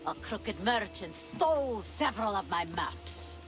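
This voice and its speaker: A woman's voice: mid-range voice, very animated, a little slow, over music, rough recording. An actress playing a sly, imperious, treasure-loving pirate queen of mature years, with a languid, theatrical delivery in a video game cutscene. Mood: commanding. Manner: languid, commanding, teasing, theatrical.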